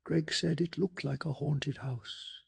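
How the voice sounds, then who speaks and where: A man reads a scripted story in a soft ASMR style. His voice sits slightly high for a man and is very breathy, with a thick crackle of fry running through it. The delivery stays calm, hushed and measured, made for falling asleep. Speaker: narrator of bedtime story recap.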